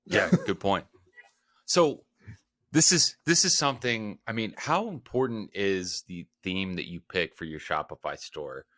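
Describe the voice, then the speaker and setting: A man's voice: sits low, animated, unhurried, sharp tone, dry recording, studio quality. A man hosting a podcast, asking his guests questions and rephrasing them as he goes. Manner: asks stacked, rephrased questions. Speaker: podcast host. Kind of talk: interviewing guests.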